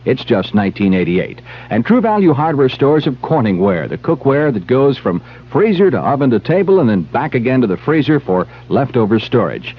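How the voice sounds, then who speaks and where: On a dull old radio recording, a man reads an advertisement. His husky, mid-pitched voice has lively intonation and a scripted announcer's delivery. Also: normal speed, hardly any pauses.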